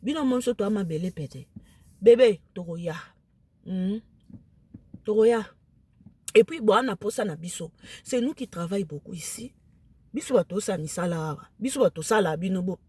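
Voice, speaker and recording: A woman with a Lingala accent, a native Lingala speaker, talking casually to camera, emphatic and excited, switching into French. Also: fairly low pitch, slightly rough. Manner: emphatic, excited, mixes French into her speech.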